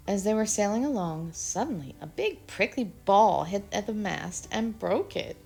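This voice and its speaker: A woman reads a children's story aloud with a lively, expressive delivery. Her voice has a gravelly texture. Faint music plays behind her. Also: mid-range voice. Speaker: storybook reader. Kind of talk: children's read-aloud narration.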